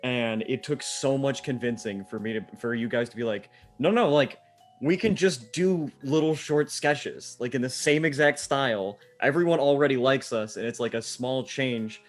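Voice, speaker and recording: A man's voice: mid-range voice, moderate pace, faint music behind. A man talking casually as a podcast co-host, reminiscing among friends. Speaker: podcast co-host, sketch comedy maker.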